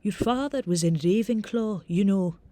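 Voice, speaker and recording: A woman's voice: medium pitch, dark tone, dry recording, voice pushed up, close to an everyday voice. A woman voicing a stern, formal older female teacher with a reedy Scottish accent in an audiobook. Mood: formal, welcoming. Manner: prim, measured, formal.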